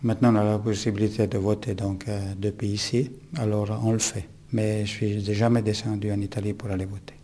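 A man in his sixties, born in Italy, answering interview questions in a plain, halting way with many fillers. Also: on the low side, little pitch movement, warm tone.

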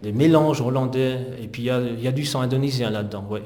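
A man's voice: mid-range voice, fast, a little mellow, slight background noise. Hesitant middle-aged man, roomy sound. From Netherlands. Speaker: interviewed physiotherapist. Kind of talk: conversational interview answers recounting personal history.

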